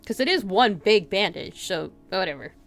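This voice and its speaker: A woman's voice: medium pitch, expressive, normal speed, soft background music. A woman livestreaming a visual novel read-aloud, giggling and reacting aloud in casual asides. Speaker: streamer.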